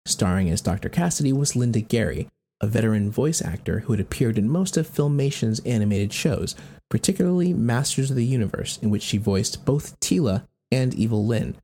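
A man's voice: mid-range voice, mellow, husky. An American man hosting a podcast, reading scripted narration. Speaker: podcast host.

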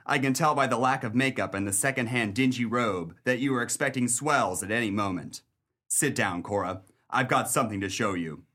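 A man's voice: mid-range voice, a little fast, sharp tone. A man playing a dogged, bitter police detective in a 1930s pulp crime radio play, with a wry, tough-talking manner. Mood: sardonic.

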